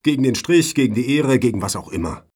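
A man's voice: medium pitch, very animated, fast, a little mellow, husky. A man acting in a radio satire as a pedantic, suspicious foundation juror, officious and wordy. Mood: suspicious, indignant.

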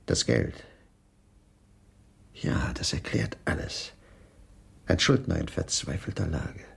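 An actor playing a gloomy, suspicious husband in a radio crime drama, brooding and halting when questioned. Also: male voice, lively intonation, full character voice. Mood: bitter, brooding. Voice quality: gravelly, husky low voice. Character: gloomy, suspicious dining-car waiter, husband of an injured woman.

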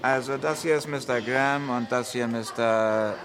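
A man's voice: medium pitch, moderate pace, over music, natural voice. An actor playing an affable, slyly ironic male police inspector in a vintage radio crime drama, genial and dry. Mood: dryly amused.

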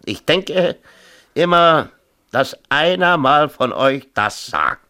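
An actor playing an agitated, self-pitying middle-aged mayor in a radio drama, rambling and insistent in colloquial talk. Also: male voice, fairly high pitch, animated, slow, bright, forceful tone, smooth, exaggerated voice. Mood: bitter, agitated. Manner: rambling, insistent, peppers talk with tag questions. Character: agitated, self-pitying small-town mayor and exasperated father. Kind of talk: 1970s East German radio drama acting, colloquial dialogue.

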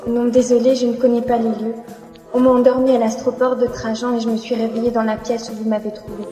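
A woman's voice: on the high side, little pitch movement, fairly quick, warm tone, lightly acted. A woman playing a weary young captive being rescued in an audio drama. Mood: shaken, questioning. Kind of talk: French-language audio drama acting. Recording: echoey long reverb, music behind.

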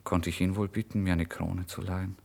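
Proud, starving young writer, erratic and giddy, low voice, theatrical first-person radio drama monologue. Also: male voice, level tone, fairly quick, gravelly. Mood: anxious. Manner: theatrical, volatile, swinging between hushed and exclaiming.

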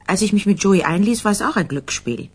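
An actress playing a candid, matter-of-fact mobster's wife with a husky voice, in a radio crime drama. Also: female voice, mid-range voice, lively intonation, brisk. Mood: reflective, candid.

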